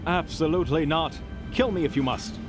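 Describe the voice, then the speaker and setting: An actor playing a tall, fussy, dry-witted adventurer in a fantasy audio drama, with refined phrasing. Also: male voice, fairly high pitch, a little fast, over music, lightly acted. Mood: deadpan disdain.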